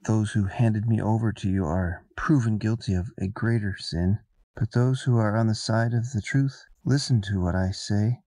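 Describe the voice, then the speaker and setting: A man playing a calm, resolute holy prisoner in an amateur biblical radio drama, measured and solemn. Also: lowish voice, no room sound.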